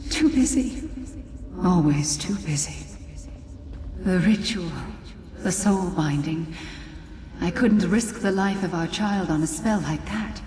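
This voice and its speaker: A woman voicing a sorrowful, regretful ghostly mother in a fantasy game, speaking in a mournful, deliberate way. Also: on the low side, echoey room, in a large hall, noisy background. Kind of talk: dramatic fantasy game dialogue.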